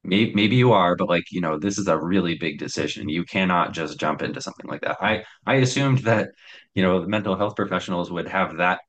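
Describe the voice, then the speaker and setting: A young man with a very deep voice telling his own story in a hesitant, reflective way, with many fillers and restarts. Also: fairly bright tone.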